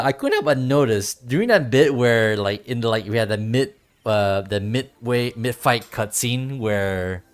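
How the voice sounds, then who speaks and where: A man giving casual livestream commentary on a game, talking hesitantly with lots of filler words. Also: mid-range voice.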